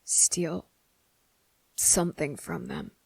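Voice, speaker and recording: A woman voicing a guarded, defiant young boy with deliberate, halting, serious delivery in an ASMR roleplay audio drama. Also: sits low, vocal fry, a little mellow, husky, no echo, heavily acted, low-quality recording. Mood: puzzled, guarded.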